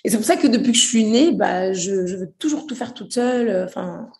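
A woman's voice: medium pitch, brisk, creaky, darkish tone. A young woman from Portugal talking about her life as an interview guest, hesitant, with many fillers and restarts. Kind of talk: casual interview chat.

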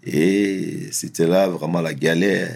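A man who grew up in France, telling his life story in a relaxed, slangy, candid way as an interview guest. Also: low-pitched, slow pace, vocal fry.

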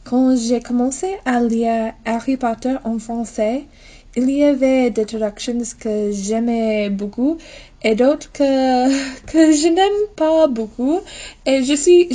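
American woman w a soft mellow voice, kinda high, english native doing a careful informal voice message, slips into english. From United States. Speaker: podcast listener sending a voice message. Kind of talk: prepared, informal audio message.

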